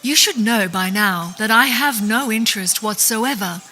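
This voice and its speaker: Bright-voiced, enigmatic witch. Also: a little high, expressive. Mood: cool, composed. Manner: measured, cryptic, assured. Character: composed, enigmatic, scheming good witch. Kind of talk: audio drama acting.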